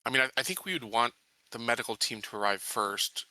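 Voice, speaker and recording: A man casually roleplaying in a tabletop game in his own voice, haltingly thinking aloud. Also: mid-range voice, animated, bright, forceful tone, dry recording, low-quality recording.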